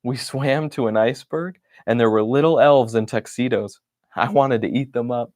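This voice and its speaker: A man's voice: mid-range voice, normal speed, darkish tone, dry recording, clean studio sound, lightly acted. A man voicing a cheerful, happy-go-lucky wooden stick who reads his diary with bubbly enthusiasm. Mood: excited.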